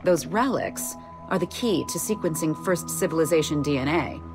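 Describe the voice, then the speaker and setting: A woman's voice: deep voice, animated, normal speed. An actress playing a cool, unyielding female corporate superior in a video game, speaking in a curt, formal, authoritative way. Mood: cool authority. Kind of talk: scripted video game dialogue. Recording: music behind. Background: music.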